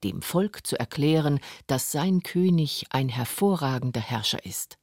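A man's voice: a little high, animated, unhurried, darkish tone, no room sound, studio quality. A man reading scripted documentary narration for a radio feature. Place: radio studio.

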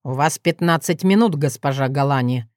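A woman's voice: sits low, moderate pace, no room sound, studio quality, natural voice. A woman voicing a restrained, exasperated male dragon lord who speaks formally and through gritted teeth in an audiobook story. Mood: grudging.

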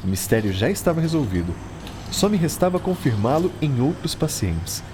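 An actor playing a modest, enthusiastic early twentieth-century scientist in a radio drama, with a Brazilian accent and a formal, didactic manner. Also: male voice, fairly high pitch, sing-song, normal speed. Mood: proud, engrossed. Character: modest, enthusiastic early-1900s male scientist explaining discoveries. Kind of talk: educational radio drama acting. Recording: noisy ambience behind studio radio drama.

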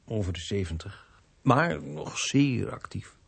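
An actor playing an earnest vicar in a radio play, husky and often searching for words. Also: male voice, medium pitch, expressive, unhurried, heavily acted. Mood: earnest, hesitant. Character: earnest, hesitant male vicar, new to his parish. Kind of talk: Dutch radio play acting.

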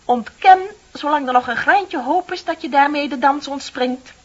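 An actress playing a bitter, composed office clerk in her thirties in a radio play, speaking in a deliberate, pointed, theatrical way. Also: high-pitched, close to an everyday voice. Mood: declamatory. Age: middle-aged. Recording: dull, hissy old radio play recording.

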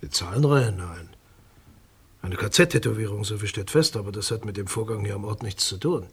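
A man's voice: on the low side, lively intonation, moderate pace, a bit bright, breathy edge, exaggerated voice. An actor playing a guarded, dismissive male public prosecutor in a German radio crime drama, formal and condescending in his speech.

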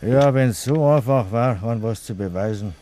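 An actor as a mellow-voiced, grumbling Bavarian village gossip. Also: male voice, medium pitch, moderate pace, slight background noise, lightly acted. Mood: insinuating. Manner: insinuating, grumbling. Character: grumbling, suspicious village tradesman spreading rumours. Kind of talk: 1950s dialect radio play acting.

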